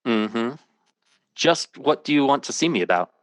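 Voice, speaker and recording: A man playing a sober, ordinary math teacher, narrating gravely and deliberately in a 1950s-style radio drama. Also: mid-range voice, animated, no room sound, natural voice. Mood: polite, composed. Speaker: lead actor.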